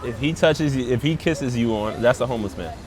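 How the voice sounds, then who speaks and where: A man's voice: medium pitch, fairly quick, darkish tone, soft background music, poor audio. A male comedian hosting a casual street chat, trading crude, slang-heavy jokes. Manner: crude joking banter with slang. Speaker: comedian host. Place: outdoors on a city street.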